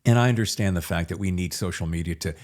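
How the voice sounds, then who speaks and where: A man's voice: low voice, fast talker. A man hosting a podcast interview in a casual, chatty way with folksy phrasing. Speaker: podcast host interviewing an author.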